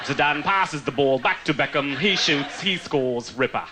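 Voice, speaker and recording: A man voicing an overly polite male British soccer commentator, calling the play slowly and calmly in a put-on English accent, on a handheld mic on a theater stage. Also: a little high, noisy background, lightly acted. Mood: understated. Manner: slow, measured, unexcited play-by-play. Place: large theater stage before a live audience. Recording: live stage audio with loud audience.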